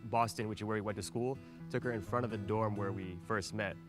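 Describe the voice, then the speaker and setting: A man tells his story in an easygoing manner with a lowish voice. Clear guitar music plays behind him in the video clip. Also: fairly even intonation, a little fast. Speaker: contestant.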